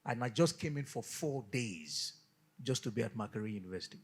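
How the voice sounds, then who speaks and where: Man from Nigeria, husky voice, guest pastor, lively storytelling, acts out quoted dialogue, middle-range pitch. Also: brisk. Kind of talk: casual storytelling in a studio interview.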